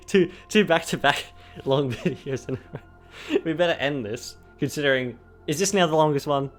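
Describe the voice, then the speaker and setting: A young man co-hosting a casual gaming podcast, giggling and rambling with lots of fillers while his voice is going hoarse. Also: fairly high pitch, animated, normal speed, faint music behind. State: losing his voice. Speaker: podcast co-host. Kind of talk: casual chat.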